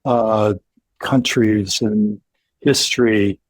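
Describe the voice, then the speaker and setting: Older man, interviewer, slightly husky, careful and halting, dry close sound. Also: fairly low pitch, fairly even intonation, dry recording, low-quality recording. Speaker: guest interviewer. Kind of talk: interview questions in conversation. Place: home living room.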